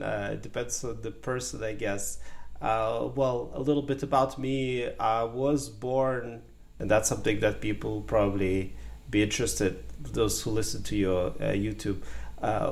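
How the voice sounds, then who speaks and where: A man's voice: mid-range voice, creaky. A man from Ukraine, a psychology professor, giving thoughtful, hesitant interview answers. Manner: thoughtful, hesitant, searching for words.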